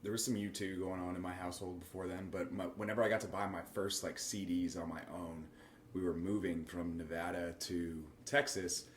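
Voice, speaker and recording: A man, a guitarist guest on a podcast, recalling things in a relaxed, reflective way. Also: sits low, a little fast. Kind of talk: casual interview chat. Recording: echoey room, faint noise.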